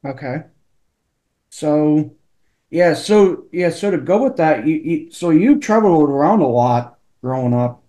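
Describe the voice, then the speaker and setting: A man hosting a casual interview podcast, speaking haltingly as he searches for his words. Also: a little high, animated, dark tone. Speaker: podcast host.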